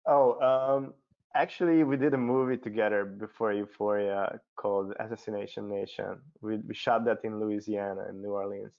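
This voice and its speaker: Low-voiced Hungarian man, halting. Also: level tone, gravelly, a little mellow. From Budapest, Hungary. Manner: halting, repeats words. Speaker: cinematographer, interview guest. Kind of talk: unscripted panel conversation. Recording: muffled lo-fi online call.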